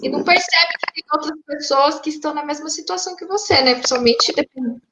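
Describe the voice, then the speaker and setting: Chatty host with a high voice, reading viewer questions aloud, on a noisy muffled stream. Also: female voice, fast. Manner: conversational, tag questions like né and tá. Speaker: host, English teacher. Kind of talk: hosting a live interview, reading viewer questions. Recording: dull lo-fi stream audio with noise.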